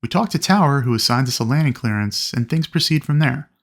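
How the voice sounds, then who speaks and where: A man narrating an instructional lesson as a flight instructor, explaining things clearly and methodically. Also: mid-range voice, expressive, brisk. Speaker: flight instructor presenting a training video. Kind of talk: instructional narration with acted radio calls.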